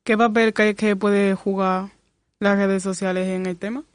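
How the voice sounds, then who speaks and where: A young woman moderating a podcast panel, reading questions in a steady way. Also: medium pitch, unhurried, dry recording. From Spain.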